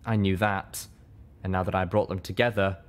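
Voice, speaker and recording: A man reading a first-person story as an audiobook narrator, with expressive, dramatic delivery. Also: sits low, moderate pace, slight background noise.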